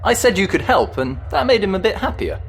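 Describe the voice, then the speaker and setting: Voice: medium pitch, big pitch swings, fairly quick. An actor playing a chatty, cheeky, guileless ghost in an audio drama.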